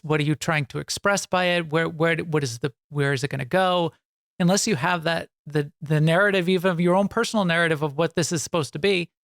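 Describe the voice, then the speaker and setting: Creaky-voiced woman interviewer, dry close sound. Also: sits low, dry recording. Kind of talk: casual interview chat.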